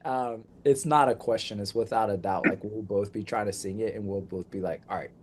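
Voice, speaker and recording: A man's voice: lowish voice, darkish tone, slight background noise. A young man, a band member, chatting eagerly and upbeat in an interview. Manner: upbeat, eager, talks in quick bursts. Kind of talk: casual interview chat.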